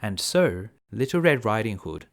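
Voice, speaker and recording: Expressive man reading a bedtime story. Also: lowish voice, normal speed. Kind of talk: bedtime story narration for children.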